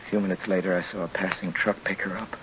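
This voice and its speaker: A man's voice: low-pitched, fairly even intonation, fast, noisy background, lightly acted. An actor playing a nervous, desperate young man driving alone, stammering and pleading, in a muffled 1940s radio drama recording. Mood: haunted. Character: haunted young male driver, nervous and desperate. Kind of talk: 1940s radio drama acting with first-person narration.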